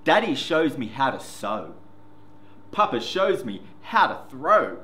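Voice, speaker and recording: A man hosts story time, reading a picture book to young children in an expressive, sing-song way. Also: mid-range voice, takes it slowly. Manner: expressive, sing-song, addressing small children with simple words. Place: indoor classroom reading corner. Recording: roomy echo with faint background noise.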